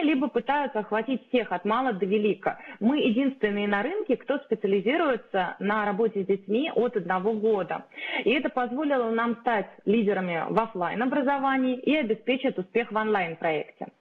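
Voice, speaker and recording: A woman in her thirties, a company founder, giving a steady, rehearsed business pitch to investors over a video call. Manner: steady, rehearsed delivery with occasional filler sounds. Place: indoor room, video call. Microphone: webcam or laptop mic on a video call. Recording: narrow phone-like sound.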